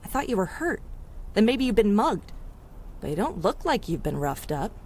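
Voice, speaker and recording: A woman's voice: mid-range voice, sing-song, normal speed, a little mellow, slightly rough. A woman playing a plucky, streetwise city dweller with wry, colloquial speech in a 1930s-style radio drama. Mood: concerned, wry. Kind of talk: 1930s radio adventure serial acting.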